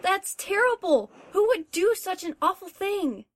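Young female dog owner, overwhelmed and exclaiming, very high voice, slow delivery, audio drama played by a woman. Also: big pitch swings, no room sound, clean studio sound, full character voice. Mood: earnest, hopeful. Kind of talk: scripted audio story acting.

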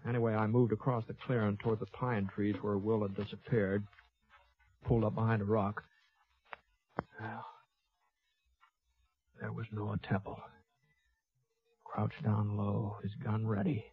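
An American actor playing a laconic, folksy gunfighter who narrates a 1950s radio western in a halting, drawling way. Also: male voice, on the low side, level tone. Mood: tense, measured. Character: laconic, folksy, fair-minded Old West gunfighter narrating his tale. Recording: dull lo-fi vintage 1950s radio recording.

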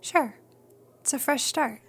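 A woman playing a caring, soft-spoken and hesitant young companion in a radio drama. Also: high voice, slow pace. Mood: gentle concern.

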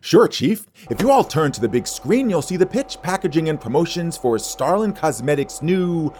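Slick, animated marketing chief. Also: male voice, fairly high pitch, normal speed, slight background noise. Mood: eager pitching. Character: eager, slick new head of marketing. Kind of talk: campy audio drama acting.

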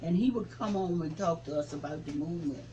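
Seventy-something reverend with preaching cadence. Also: male voice, fairly high pitch, quick pace, lo-fi sound, a little hiss or hum. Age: 70s. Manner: preaching cadence, rising to forceful emphasis. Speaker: reverend, civil rights veteran. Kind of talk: interview reminiscence.

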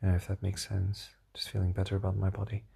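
Tired, hoarse young man rambling. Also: sits low, monotone, fast talker, warm tone. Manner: rambling, hesitant, many fillers and restarts. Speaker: vlogger. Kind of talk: casual diary vlog.

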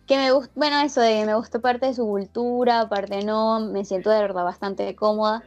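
A Venezuelan woman with a slightly raised voice talks in loose, rambling sentences, stopping and restarting her thoughts. Her Venezuelan accent now and then slips into an Argentine lilt. The audio is muffled and lo-fi, with soft music playing underneath. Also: on the high side, steady tone, normal speed. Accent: Venezuelan Spanish with a slight Argentine lilt. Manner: chatty, rambling, many restarts and fillers. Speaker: interviewee. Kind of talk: casual chat.

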